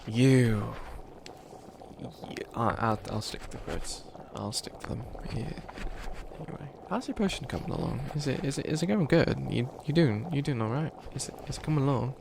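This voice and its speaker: A man playing a grandiose but bumbling evil witch in a comedic ASMR roleplay, breathy and often near a whisper. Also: mid-range voice, lively intonation, moderate pace, noisy background. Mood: fussy, sheepish. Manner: theatrical villain declarations breaking into rambling, self-doubting asides.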